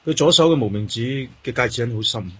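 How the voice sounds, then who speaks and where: An actor playing a methodical, brooding police detective, a man with a Hong Kong Cantonese accent, authoritative and measured, in a radio drama. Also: mid-range voice, lively intonation, husky. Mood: businesslike.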